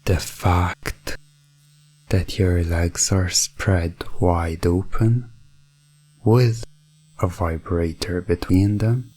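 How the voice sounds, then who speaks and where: A man playing a calm, businesslike professional dominant in an ASMR hypnosis roleplay, speaking in a soft, breathy voice with measured, formal, detached delivery. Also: deep voice, full character voice. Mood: calm, assured. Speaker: audio roleplay performer.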